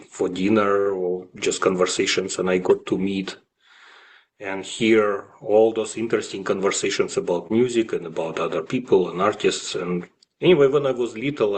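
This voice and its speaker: Male interview guest, native Russian speaker with Russian accent, slightly low voice, reflective and halting. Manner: halting, with frequent pauses and slight grammatical slips. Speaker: guest, classical violist and music professor.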